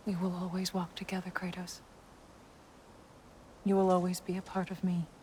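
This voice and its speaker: A woman playing a gentle, wise wife in a video game drama, serene about death, speaking softly and with measured tenderness. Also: fairly low pitch, completely flat delivery, slight background noise, lightly acted. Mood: hushed, tender.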